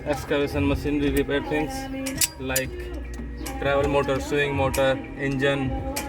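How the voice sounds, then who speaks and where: Indian-accented man, even-toned, over music. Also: mid-range voice, moderate pace. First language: Punjabi or Hindi. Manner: simple non-native English with repeated phrases. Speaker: repair channel host, machinery mechanic. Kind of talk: hands-on repair tutorial, casual explaining. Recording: music playing behind the voice.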